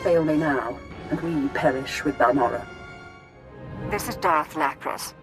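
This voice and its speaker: A woman's voice: low-pitched, measured pace, over music. A woman playing a ruthless female military commander in a video game, giving clipped, menacing orders. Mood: ruthless, urgent. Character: ruthless female Sith commander directing a war. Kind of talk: dramatic video game voice acting.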